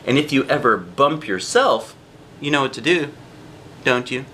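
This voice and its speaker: A man reading a children's storybook aloud in an expressive way, with drawn-out emphasis on key phrases. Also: mid-range voice, a little hiss or hum. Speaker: storybook reader, a dad.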